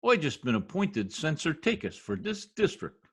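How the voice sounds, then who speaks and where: A man's voice: on the high side, very animated, normal speed, creaky, a bit bright, husky, exaggerated voice. A man playing a folksy, self-important wisecracking husband in an old-time radio comedy, talking in slangy bluster. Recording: home video-call recording.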